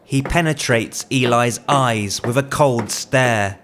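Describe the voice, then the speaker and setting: A man's voice: medium pitch, slow pace, background noise. A man reading screenplay directions as a scripted narration. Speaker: writer reading own screenplay.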